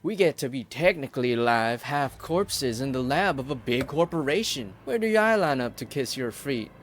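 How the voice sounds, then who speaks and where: A man's voice: medium pitch, lively intonation, normal speed, soft background music, natural voice. A man playing a bitter, defiant outcast worker in a game fan dub, blunt and sarcastic in his speech. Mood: scornful.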